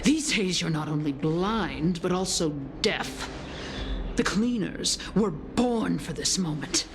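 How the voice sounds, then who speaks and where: An actress playing a scheming, villainous noblewoman in a video game, taunting and theatrical, with drawn-out phrasing. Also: fairly low pitch, expressive, background noise. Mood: venomous, menacing. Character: scheming, mocking villainous noblewoman, gloating and venomous. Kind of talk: dramatic video game voice acting.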